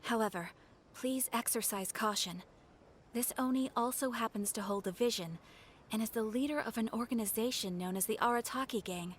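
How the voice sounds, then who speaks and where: A woman's voice: on the high side, normal speed, a little hiss or hum, close to an everyday voice. A woman playing a formal, courteous guild receptionist. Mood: calm. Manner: formal, composed, polite. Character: poised adult woman receptionist, courteous and measured. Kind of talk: game voice acting.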